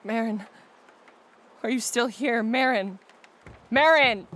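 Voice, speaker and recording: Distraught, desperate patient. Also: female voice, on the high side, slow pace, a bit bright. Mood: anxious searching. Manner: pleading, accusing. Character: distraught, desperate patient trapped in virtual reality. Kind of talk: audio drama acting.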